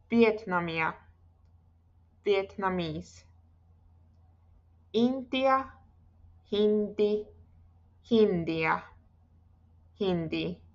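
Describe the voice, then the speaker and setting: Slow, careful woman teaching Finnish. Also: medium pitch, a bit bright, muffled recording. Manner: slow, careful pronunciation drills, repeating each word. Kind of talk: slow scripted language lesson.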